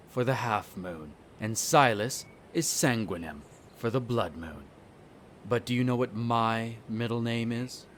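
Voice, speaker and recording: A man playing a smug, bitter vampire noble, the eldest son, in an audio roleplay, speaking in a haughty, sardonic way with drawn-out theatrical phrasing. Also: on the low side, a little hiss or hum. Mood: bitter, brooding. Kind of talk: scripted audio roleplay acting addressed to the listener.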